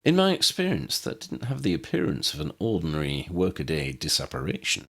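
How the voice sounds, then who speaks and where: A man voicing a bookish, courtly uncle in an audiobook, measured, wordy and wry. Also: extremely low pitch, wildly swooping pitch, gravelly, breathy edge, pitched below the performer's own voice, heavily acted. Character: bookish, courtly uncle with ornate speech and calm wit.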